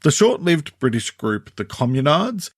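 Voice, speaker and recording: A middle-aged man with an Australian accent hosting a scripted solo podcast in a measured, deliberate, conversational way. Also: a little high, sing-song, no echo, studio quality. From Sydney, Australia. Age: 40s or 50s. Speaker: podcast host, mental health counsellor.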